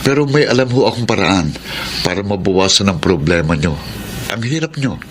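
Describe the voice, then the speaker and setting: A man's voice: low-pitched, very animated, normal speed, slight background noise. A man with a Filipino accent acting a polite, persuasive married neighbour in a radio drama, speaking courteously and coaxingly.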